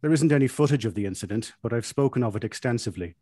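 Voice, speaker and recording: A man reading a scripted comic monologue aloud in a deadpan, self-satisfied manner. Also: mid-range voice, racing, no echo, studio quality.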